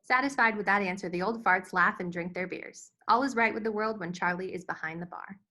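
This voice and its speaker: Female narrator for screenplay read, clear-cut bright, forceful tone, medium pitch, scripted delivery. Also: fairly quick. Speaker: stage-direction narrator.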